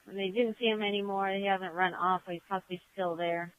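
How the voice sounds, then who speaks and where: A woman's voice: medium pitch, steady tone, a little fast, dry recording. A woman working as a police dispatcher, relaying calls over a police radio. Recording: narrow, tinny police radio transmission.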